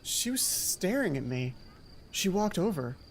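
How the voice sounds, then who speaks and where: A woman's voice: fairly low pitch, sing-song, a little slow. A woman reading a game script aloud and breaking off into playful, casual asides as a let's play streamer. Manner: playful, self-interrupting asides. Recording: music playing behind voice. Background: video game music.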